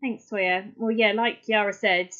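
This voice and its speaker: A woman guest in an interview, answering politely and briskly. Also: mid-range voice, steady tone, fairly bright tone, lo-fi sound.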